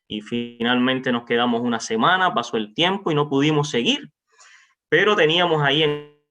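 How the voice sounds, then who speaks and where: Bright-voiced man, halting speaker. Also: medium pitch, faint music behind. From Cuba. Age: 40s. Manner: halting, with frequent pauses. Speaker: visiting church preacher. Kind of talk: informal testimony and greeting to a congregation. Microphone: remote online meeting microphone. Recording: dull, lo-fi online meeting audio.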